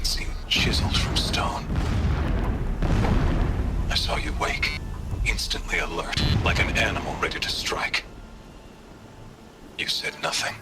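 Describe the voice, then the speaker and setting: An actor playing a proud, pitiless warrior zealot in a video game, delivering a solemn, grandiose monologue in formal archaic phrasing. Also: male voice, medium pitch. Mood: reverent, intimate. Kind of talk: dramatic audio-log monologue in a video game.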